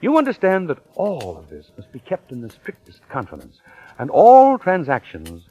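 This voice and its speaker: Florid, smooth-talking con man, theatrical swings. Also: a little high, huge pitch swings, moderate pace, warm tone, muffled recording, exaggerated voice. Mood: smooth, insinuating. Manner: pompous, florid, chuckling wordy speech. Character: genial, smooth-talking male confidence man posing as professor. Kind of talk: 1940s radio acting, first-person narration and dialogue. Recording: vintage radio drama recording.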